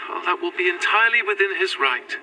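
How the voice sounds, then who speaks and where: A woman's voice: lowish voice, expressive, moderate pace, noisy background. An actress playing a gracious, devoted Victorian lady in a gothic audio drama, with formal, earnest, old-fashioned speech. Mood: earnest, firm. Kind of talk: found-footage gothic audio drama acting.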